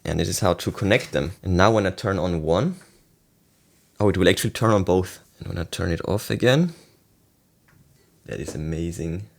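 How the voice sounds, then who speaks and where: A man's voice: deep voice, normal speed, gravelly, long pauses. A man talking casually and enthusiastically through a hands-on product unboxing and review.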